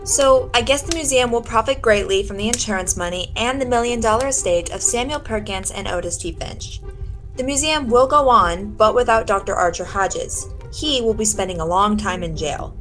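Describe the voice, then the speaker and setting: A woman's voice: mid-range voice, normal speed, fairly bright tone, natural voice. A young woman playing a probing radio detective over music. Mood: matter-of-fact. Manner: pointed, probing, theatrical. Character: sharp, confident female detective interrogating suspects. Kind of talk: old-time 1940s radio detective drama acting.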